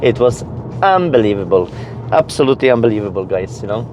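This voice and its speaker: A man from Poland with a Polish accent, chatting casually to viewers as a vlogger in a halting way, often repeating and rephrasing his words. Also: lowish voice, expressive, dull audio, noisy background. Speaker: YouTube vlogger. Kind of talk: casual storytelling and chat to viewers.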